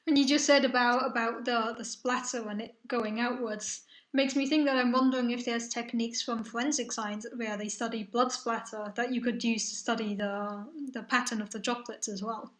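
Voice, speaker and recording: A young woman with a mixed British-European accent hosts a live science chat, her voice sitting a little high. She explains things in a friendly, reassuring way and reads viewer questions aloud. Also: steady tone, normal speed, few pauses, a bit bright, echoey room. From southern England, raised in Spain. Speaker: science livestream host.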